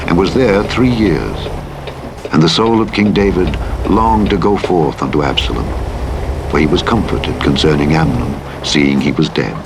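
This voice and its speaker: A man reading scripture aloud in a measured, solemn way, with rain and engine noise behind. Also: deep voice. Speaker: scripture reader.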